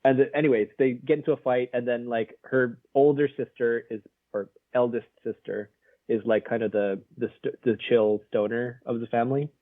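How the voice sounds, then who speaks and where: A man's voice: mid-range voice, fairly even intonation, normal speed, dry recording. A man co-hosting a casual film review podcast, rambling with lots of fillers and frequent laughs. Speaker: podcast co-host. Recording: narrow phone-like sound.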